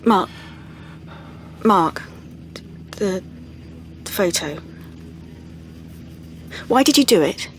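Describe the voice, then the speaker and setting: A woman's voice: mid-range voice, expressive, very slow, pauses often, slight background noise. An actress playing a guarded, principled young woman in an audio play, speaking in short clipped phrases. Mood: hurt, firm. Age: young adult. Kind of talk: dramatic monologue and dialogue, audio play.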